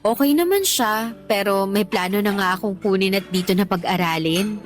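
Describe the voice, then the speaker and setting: A woman's voice: medium pitch, normal speed, lightly acted. A woman acting as a candid, talkative teacher and single mother on a date in a Tagalog radio drama. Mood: earnest, confiding. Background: background music.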